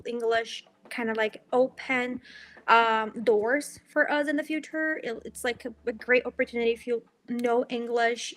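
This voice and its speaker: A woman's voice: a little high, fairly bright tone. A woman in her twenties from Brazil with a Brazilian Portuguese accent, a student guest telling her story cheerfully in a casual interview, with many ums. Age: 20s. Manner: cheerful storyteller with frequent ums and light laughs.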